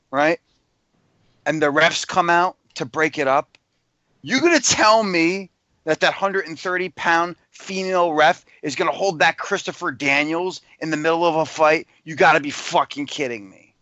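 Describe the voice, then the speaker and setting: A man's voice: on the high side, pushed and bright, no room sound. Blunt, profane man ranting. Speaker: podcast co-host. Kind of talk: casual podcast chat. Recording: dull lo-fi sound.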